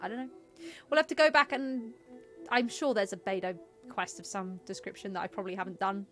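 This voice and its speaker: A woman's voice: mid-range voice, steady tone, fairly quick, fairly bright tone. A woman giving casual live-stream game commentary, chatty and playful as she muses aloud. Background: game music.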